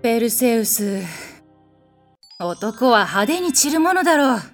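A woman's voice: on the high side, big pitch swings, measured pace, soft background music. A woman playing a haughty, blunt warrior goddess in a comedic audio drama, speaking in an imperious, curt way with a protective streak. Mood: deadpan, blunt. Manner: imperious, commanding, curt masculine phrasing.

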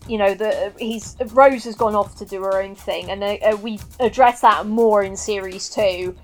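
A woman's voice: medium pitch, steady tone, moderate pace, faint music behind. A woman co-hosting a podcast in casual chat, enthusiastic and rambling, with plenty of fillers. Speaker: podcast co-host.

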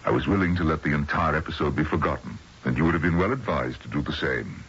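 An actor playing a stuffy, prim male employer, formal and pompous, with a husky voice, in old-time radio drama. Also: extremely low pitch, quick pace, a bit bright, heavily acted. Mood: stiff, reluctant. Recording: dull, muffled old radio recording.

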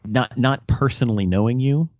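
A man interviewing casually, haltingly repeating words as he works his way into each question. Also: medium pitch, darkish tone, no room sound, lo-fi sound. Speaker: podcast interviewer.

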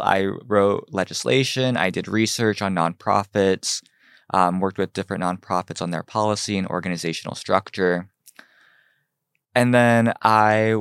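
A young man from Ohio, a fashion designer telling his story in an earnest, measured way on a podcast. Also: on the low side, clear, resonant tone, dry recording, clean studio sound. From United States (Ohio). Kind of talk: podcast interview.

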